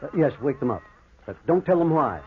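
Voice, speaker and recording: A man acting an earnest, excitable older doctor who narrates a ghost tale in old-time radio horror style, with dramatic, deliberate delivery. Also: a little high, narrow, tinny audio. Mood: shaken, earnest. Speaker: narrator and lead actor. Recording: thin, noisy vintage radio recording.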